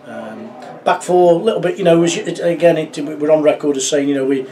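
A middle-aged man, a football manager, giving a measured, reflective post-match interview in a small club office, with many fillers and restarts. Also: on the high side, warm tone, far from the mic. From England.

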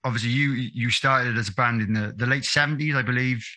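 A man's voice: medium pitch, steady tone, pushed and bright. A man in his thirties hosting a podcast over a video call, chatty and upbeat. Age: 30s. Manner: upbeat, chatty, quick laughs. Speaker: podcast host. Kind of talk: friendly podcast interview. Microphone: webcam video call.

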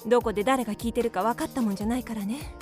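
A woman's voice: fairly high pitch, racing, darkish tone, faint music behind, close to an everyday voice. A woman playing a worried mother and devoted wife in an earnest, emotive radio drama. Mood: scolding, hushed.

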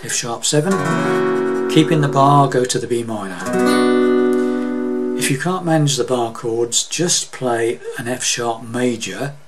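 A man's voice: mid-range voice, slow. A man giving a casual guitar lesson in a small room, often checking in with "okay?" as he explains the chords. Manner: chatty, says "okay?" often, sings snippets over strumming. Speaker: guitar teacher. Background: his own acoustic guitar.

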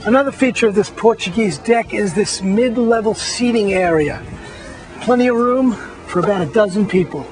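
A man's voice: high voice, moderate pace, a little mellow, soft background music. A man, a magazine editor, walking viewers through a boat and pointing out its features one by one in an upbeat tour narration.

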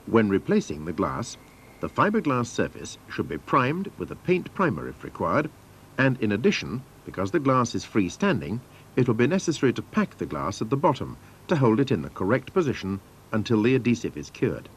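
A man's voice: mid-range voice, very animated, a little fast, slight background noise. A man narrating scripted instructions for a training film in a formal, methodical manner.

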